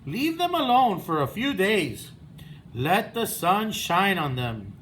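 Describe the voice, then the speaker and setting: A man's voice: high voice, sing-song, takes it slowly, a bit bright, slight background noise, full character voice, rough recording. A man voicing a sensible, helpful male frog from a children's storybook, giving reassuring instructions.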